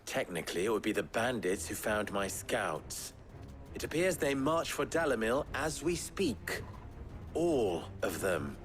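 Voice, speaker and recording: A man playing a shrewd, composed middle-aged town schemer in a fantasy game, speaking in measured, formal phrases with dry wit. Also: on the low side, sing-song, faint music behind. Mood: grim, dry. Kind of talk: dramatic video game acting.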